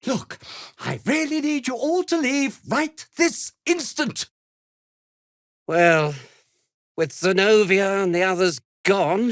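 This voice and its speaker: Game role: a self-important, slippery British toy maker. Theatrical and wordy, slow delivery with big melodic swings, close dry sound. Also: male voice, very high-pitched, a bit bright, no room sound, heavily acted. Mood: flustered pleading. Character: fussy, irritable, self-important toy maker, male, slippery and easily flustered. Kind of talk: dramatic video game dialogue.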